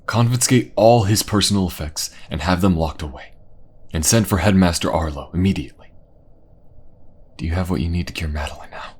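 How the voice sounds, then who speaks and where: A man's voice: sits low, fairly quick, darkish tone, slightly rough. An actor playing a remorseful, devoted king and father in a fantasy audio drama, speaking in a formal, earnest, emotional way. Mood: commanding.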